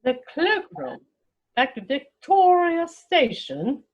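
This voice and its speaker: A woman playing a haughty, snobbish older aristocratic lady in a comic play reading, imperious and pompous, with deliberate enunciation. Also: very high voice, very animated, highs cut off, full character voice. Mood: scandalized, haughty.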